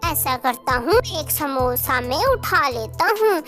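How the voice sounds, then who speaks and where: A woman's voice: high-pitched, animated, takes it slowly, fairly bright tone, soft background music, exaggerated voice. A woman voicing an innocent little boy eagle chick in a cartoon, polite, childlike and plaintive. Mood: puzzled, hungry. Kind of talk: Hindi cartoon voice acting.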